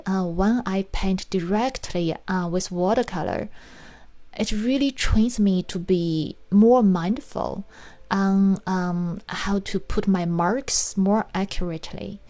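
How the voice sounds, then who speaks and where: A woman from China with a Chinese accent, gently narrating a watercolor painting tutorial in unhurried explanations. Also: mid-range voice. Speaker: watercolor art teacher and farm owner.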